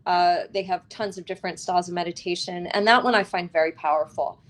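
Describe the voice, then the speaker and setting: A woman's voice: fairly low pitch, steady tone, quick pace. Earnest, reflective woman in conversation. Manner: earnest, reflective, spiritual-teacher phrasing with tag questions. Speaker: author interviewed as a guest. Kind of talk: conversational interview.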